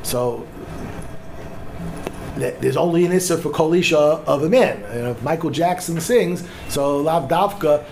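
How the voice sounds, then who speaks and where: Slightly high-voiced rabbi teaching a class. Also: male voice, unhurried, gravelly, a little mellow, slight background noise.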